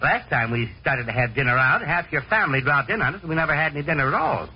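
A man's voice: on the high side, expressive, quick pace, slightly rough. An actor playing an exasperated middle-aged father in a 1940s radio comedy, blustering and stammering. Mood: eager. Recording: muffled, noisy 1940s radio broadcast.

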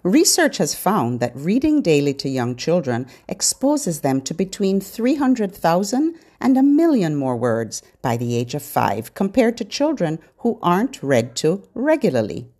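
A middle-aged or older woman hosting a children's podcast, giving scripted, expressive, instructional narration aimed at parents and babies. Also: fairly low pitch, a little slow, few pauses.

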